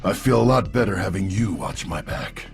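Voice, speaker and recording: A grizzled old soldier from a video game, with a very low, gravelly voice. He is gruff, terse and stubborn, with music clearly playing behind him. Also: animated, normal speed. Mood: wry, stubborn. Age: older.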